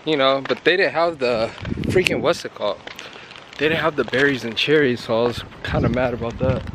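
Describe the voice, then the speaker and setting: A young man in his twenties, hoarse after yelling at a concert, talking excitedly and rambling in a casual vlog, filmed on a phone held at arm's length. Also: mid-range voice, fairly quick, noisy background. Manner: rambling, excited, repeats himself. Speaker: vlogger. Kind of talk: casual vlog talk to camera. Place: bedroom at home, then walking on a suburban street outdoors.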